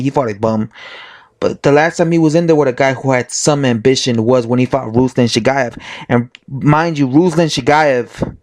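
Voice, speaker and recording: A man talks casually with a hoarse, slightly sick voice. He rambles through his opinions as a boxing vlogger. He stops to correct himself and fills gaps with "uh" and "like". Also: mid-range voice, darkish tone. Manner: rambling, full of fillers and self-corrections.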